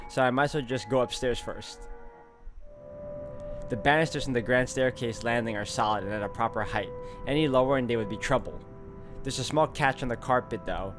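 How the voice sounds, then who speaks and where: A man hosts a visual novel playthrough with game music behind him. His voice sits at a middling pitch. He reads the text aloud and drops in casual comments, thinking out loud. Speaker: let's play host.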